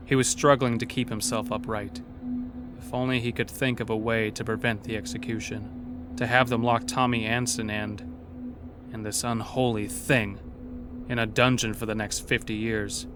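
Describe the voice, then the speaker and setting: A man giving scripted, suspenseful narration for a horror audio drama, telling the story in a measured way. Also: mid-range voice, little pitch movement, background noise, close to an everyday voice. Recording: clear music bed behind.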